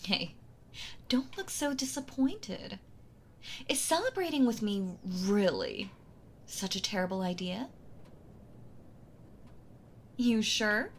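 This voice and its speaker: A woman's voice: high-pitched, slight background noise, exaggerated voice. A woman playing a possessive, sweetly cruel yandere wife in an intimate roleplay audio drama, gloating and mock-tender as she toys with her captive. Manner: slow taunting delivery, breathy soft laughs, mock-tender.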